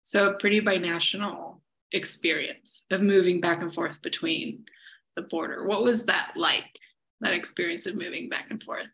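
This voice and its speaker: A woman's voice: on the low side, steady tone, moderate pace, vocal fry, a bit bright, low-quality recording. A woman hosting a podcast who asks her guest questions in a friendly, curious way, often stopping on hesitant fillers. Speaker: podcast host and interviewer. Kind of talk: scripted intro, then casual interview.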